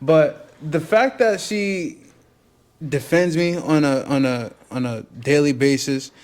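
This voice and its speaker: A man in his twenties with a fairly high voice talks casually with his partner. He is laid-back and jokey, and his speech is slangy. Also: takes it slowly, a little mellow. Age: 20s. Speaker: co-host. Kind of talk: casual couple chat.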